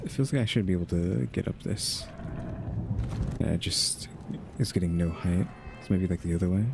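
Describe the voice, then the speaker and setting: A man with a low voice chats his way through a video game. He rambles and thinks aloud, often tossing in questioning asides, while loud music plays behind him. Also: moderate pace, vocal fry. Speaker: gaming streamer. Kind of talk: casual game playthrough commentary.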